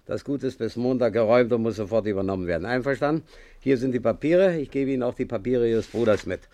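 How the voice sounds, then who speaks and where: An actor playing a businesslike wartime official in a 1950s radio play, curt and bureaucratic. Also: male voice, medium pitch, fast talker, mellow, no room sound, lightly acted. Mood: brisk, official. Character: brisk, businesslike male wartime resettlement official.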